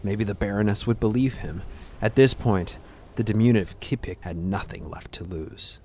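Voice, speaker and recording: A man's voice: fairly low pitch, normal speed, darkish tone, a little hiss or hum. A man giving a scripted narration of a fantasy story as its narrator.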